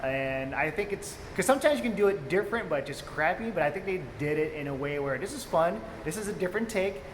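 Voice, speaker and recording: A man's voice: high-pitched, expressive, fast talker. A man co-hosting a casual, unscripted movie review, talking in a rambling, informal, enthusiastic fan's way. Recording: echoey reverberant room, clear background noise.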